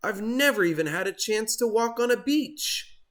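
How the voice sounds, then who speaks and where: Storybook turkey, played by a man: eager, dramatic, high and swooping, prone to moans and gobbles. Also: lively intonation, moderate pace, fairly bright tone. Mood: dismayed, moaning. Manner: exclamatory, moaning and gobbling.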